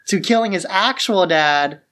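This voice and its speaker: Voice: medium pitch, lively intonation, measured pace, a bit bright. A podcast co-host chatting casually about films in an informal discussion.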